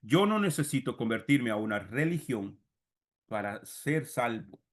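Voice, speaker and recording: A man's voice: medium pitch, very animated, a little slow. A man with a Costa Rican Spanish accent teaching a Bible seminar like a sermon, didactic, repeating key phrases and addressing listeners directly. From Costa Rica. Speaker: religious teacher and congregation leader.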